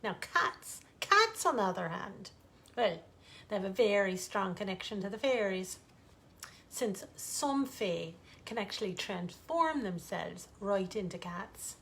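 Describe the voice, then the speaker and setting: A woman author reading a bedtime fairytale aloud in an expressive, theatrical, lilting way with dramatic pauses. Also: medium pitch, vocal fry.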